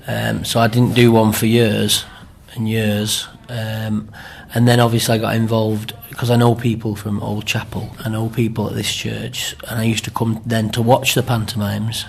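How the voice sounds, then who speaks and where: Man, middle-aged, Greater Manchester accent, low creaky voice, chatty, rambling interviewee. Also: completely flat delivery, normal speed, few pauses, slight background noise. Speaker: amateur pantomime performer being interviewed.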